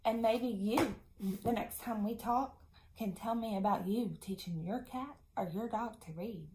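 A woman in her thirties giving young children a lesson, speaking as an encouraging, deliberate teacher. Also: mid-range voice, dark tone, poor audio. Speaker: elementary school teacher. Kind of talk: children's video mini lesson.

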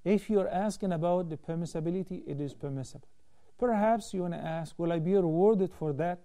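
A man in his thirties, an Islamic scholar hosting a call-in TV show, explaining rulings in a measured way from a studio desk on a lapel mic. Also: high voice, warm tone. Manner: measured, explanatory, gestures while talking. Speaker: Islamic scholar answering viewer questions. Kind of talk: call-in religious Q&A.

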